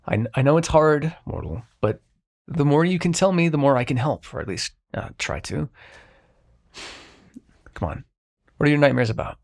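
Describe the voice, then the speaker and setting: A man playing a grumpy but reluctantly kind immortal dream-bringer in an ASMR roleplay, brusque and halting. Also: a little high, lively intonation, gravelly. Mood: exasperated, grudging. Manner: brusque, exasperated, halting, softening into concern.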